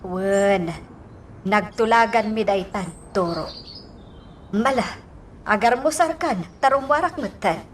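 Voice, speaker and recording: Actress as motherly older market-vendor aunt, mid-pitched, practical, radio drama, faint background noise. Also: takes it slowly, long pauses, lightly acted. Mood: practical, caring. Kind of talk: Ilocano radio drama acting.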